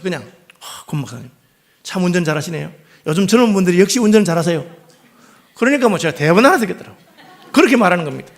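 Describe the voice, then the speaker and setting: A middle-aged male pastor preaches from the pulpit with a regional dialect that never fully leaves his speech. His voice sits a little high for a man, and he talks at a rapid clip. When he retells stories, he slips into livelier, higher quoted voices before returning to his point. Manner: speaks with a noticeable regional dialect; lively storytelling, acting out quoted people in a raised voice. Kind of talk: sermon. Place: church sanctuary.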